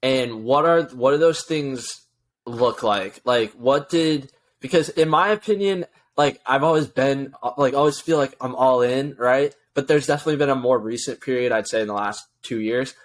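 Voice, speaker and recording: A man's voice: mid-range voice, normal speed. Casual man hosting an interview podcast. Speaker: podcast host.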